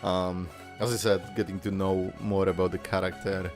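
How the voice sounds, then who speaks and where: A man's voice: sits low, flat delivery, normal speed, a little mellow, faint music behind. A young man casually reacting to game trailers, rambling, swearing and laughing between thoughts. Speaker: reaction video creator.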